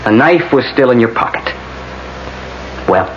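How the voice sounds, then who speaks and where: An actor playing a blunt, sardonic middle-aged American defense lawyer in a radio drama. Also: male voice, medium pitch, expressive, unhurried, close to an everyday voice. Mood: stern. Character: blunt, world-weary male defense lawyer. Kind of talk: 1980s radio drama acting. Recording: muffled, noisy old radio broadcast.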